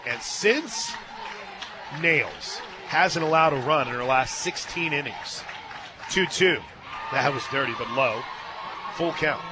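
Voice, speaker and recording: An American man calling live softball on the radio as play-by-play announcer, with folksy, joking banter. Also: mid-range voice, animated, slow, pauses often, crowd noise, background noise. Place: stadium radio booth.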